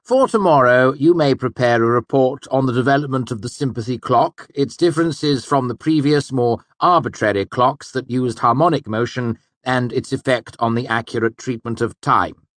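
A man's voice: medium pitch, moderate pace, no room sound, pitched above the performer's own voice, close to an everyday voice. A man voicing a haughty male magic teacher who speaks with mocking, over-courteous scorn.

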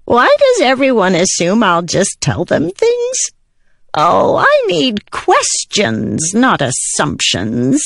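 A timid, fawning little male plant spirit put on by a female audiobook narrator. The voice is creaky and unhurried, with big excitable swings in pitch, in a dry recording. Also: no room sound, exaggerated voice. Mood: flustered. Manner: fretful, fawning.

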